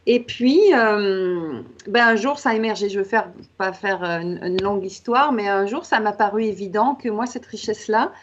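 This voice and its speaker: A woman's voice: medium pitch, moderate pace, lo-fi sound, slight background noise. A woman in her fifties from Québec, speaking with a French accent as an author guest, reflective and fluent with many fillers. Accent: French, from France. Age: 50s. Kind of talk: casual interview talk.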